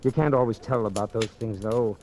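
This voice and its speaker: A man's voice: fairly low pitch, steady tone, fairly quick, warm tone, slight background noise, close to an everyday voice. An actor in 1940s radio style playing a confident, flirtatious Hollywood man with a breezy, persuasive manner. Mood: casual, guarded. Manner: breezy, insinuating, self-assured.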